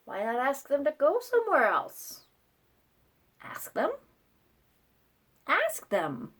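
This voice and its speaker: A woman voicing a calm, helpful little storybook pig who explains things patiently and matter-of-factly. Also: sits high, slow, full character voice.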